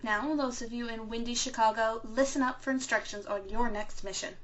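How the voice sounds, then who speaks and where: A muffled, lo-fi radio drama recording of an actress playing a female resistance broadcaster. Her pitch is a little high, and she briefs fighters in a serious, measured announcer style. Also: steady tone, lightly acted. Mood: grave, instructive. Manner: measured, instructive announcer delivery. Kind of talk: scripted fictional underground news broadcast. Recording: dull, lo-fi broadcast sound.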